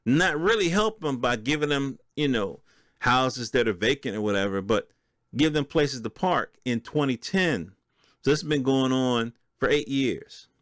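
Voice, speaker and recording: A man's voice: medium pitch, lively intonation, a little slow, a bit bright, no room sound. An American man with a mid-range voice talks in a casual, opinionated style, as a solo podcast host does. He addresses listeners directly and conversationally. The sound is dry, with very little room in it. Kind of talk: casual solo commentary, reading and reacting to news articles.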